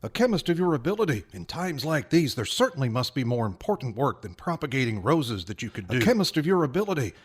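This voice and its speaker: A man's voice: medium pitch, big pitch swings, fast, hardly any pauses, husky. A disapproving, insistent male colleague, acted by a man in old-time radio drama. His mid-range voice swings widely as he argues, emphatic and challenging throughout. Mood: reproachful.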